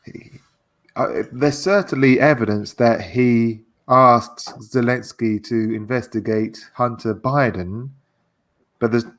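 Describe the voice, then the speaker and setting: A man chats about politics on a livestream in a medium-pitched voice. His pace is very slow. He is halting and hesitant, often repeating words while he works toward his point. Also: fairly bright tone. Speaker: discussion participant on a livestream. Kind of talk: casual political discussion.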